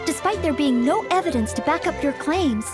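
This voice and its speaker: A woman voicing a young, dutiful maid knight in a video game, gentle, courteous and formal in an anime style. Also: high-pitched, expressive, moderate pace. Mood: earnest. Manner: polite, formal, eager to help. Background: game music.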